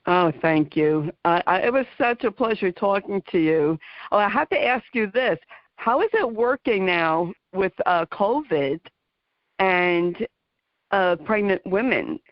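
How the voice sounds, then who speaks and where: A woman's voice: medium pitch, lively intonation, measured pace, dry recording. Chatty New Jersey woman radio host. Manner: chatty, effusive, frequent fillers and laughs. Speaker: radio talk show host. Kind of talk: casual interview chat. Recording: muffled dry radio audio.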